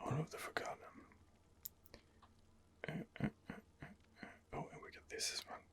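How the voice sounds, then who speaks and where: A man giving ASMR game commentary in a breathy, husky whisper, hesitant and rambling as he muses his way along. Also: moderate pace, a bit bright. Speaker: video game streamer, channel host.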